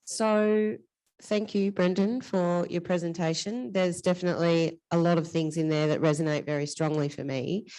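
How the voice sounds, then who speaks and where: Woman, low pitch, frank, earnest, panel speaker at a conference. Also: steady tone, moderate pace, dark tone, clean tone, rough recording. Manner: frank, earnest, self-described big voice. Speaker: community leader on a panel. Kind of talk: panel discussion answer. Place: conference hall. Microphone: handheld or stage microphone.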